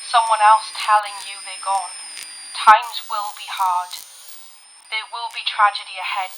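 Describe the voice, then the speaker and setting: A woman playing a solemn, compassionate 1930s British television announcer in an audio drama, poised and deliberate. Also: mid-range voice, noisy background, lightly acted.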